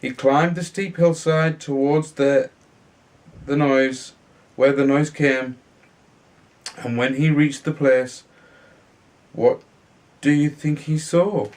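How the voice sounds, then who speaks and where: A man reading a children's fairy tale aloud in a halting way, sometimes stumbling and repeating words. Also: on the high side, fairly even intonation, a little mellow. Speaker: storybook reader.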